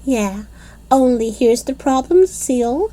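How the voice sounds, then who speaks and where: A woman voicing a bossy, excitable little kindergarten girl who talks loudly with childish grammar. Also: high voice, a little slow, voice pushed up. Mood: scheming.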